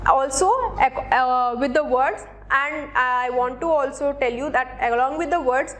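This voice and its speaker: A woman presenting instructional advice in a didactic way, speaking directly to the listener in a semi-scripted style. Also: high voice. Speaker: presenter of interview tips for job seekers.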